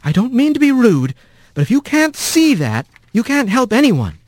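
Man doing a gentle young lady mouse. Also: very high voice, huge pitch swings, moderate pace, dry recording, pitched above the performer's own voice, heavily acted. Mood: firm. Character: kind, level-headed young female mouse councillor.

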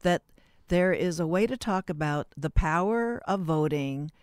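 A man interviewing conversationally, phrasing questions haltingly as he thinks aloud. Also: on the high side, expressive, clean tone, dry recording, clean studio sound.

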